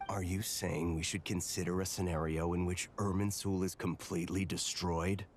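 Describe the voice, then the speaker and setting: Casting: serious, courteous male sage in a game, lowish voice, noticeable noise behind. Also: little pitch movement, normal speed. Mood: concerned. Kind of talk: video game voice acting.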